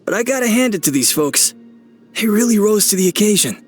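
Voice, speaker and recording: High-voiced, animated young villager. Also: male voice, a little fast, soft background music. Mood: earnest, admiring. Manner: animated, sincere. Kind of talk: video game voice acting.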